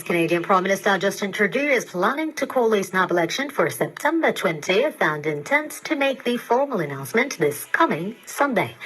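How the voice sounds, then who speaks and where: A woman presenting scripted radio news in a formal, measured manner, heard over a digital shortwave broadcast. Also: fairly low pitch, fairly bright tone, breathy edge, poor audio.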